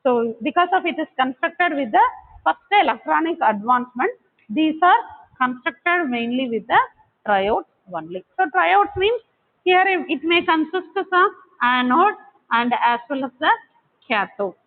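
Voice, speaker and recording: A woman giving a technical lecture, explaining step by step in short, halting phrases. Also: sits high, animated. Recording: tinny, phone-like narrowband audio.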